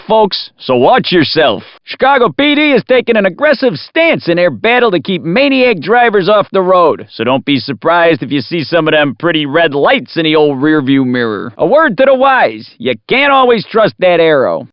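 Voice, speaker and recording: A cocky male radio announcer delivers teasing, folksy race patter for a video game. His intonation leaps around in a very animated, showy way. The recording is dry and a little dull, like compressed game audio. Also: high voice, no echo.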